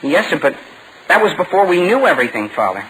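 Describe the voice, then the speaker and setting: Excitable teenage boy, high-pitched, very animated, earnest, polite, 1940s radio comedy, old broadcast sound. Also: fast. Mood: reluctant, polite. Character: eager, squeaky, excitable teenage son. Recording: noisy vintage 1940 radio broadcast recording.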